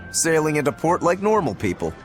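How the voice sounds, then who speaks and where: A man's voice: a little high, big pitch swings, a little fast. An actor playing a laid-back male adventurer in a game, wry and easygoing. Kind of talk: animated game voice acting. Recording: music behind the voice.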